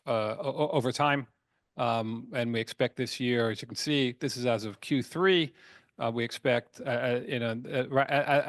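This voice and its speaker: A man speaking as a company chairman on an earnings call, explaining emphatically in a halting way, often repeating words and restarting. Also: mid-range voice, dry recording, studio quality. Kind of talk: earnings call presentation, semi-scripted business talk.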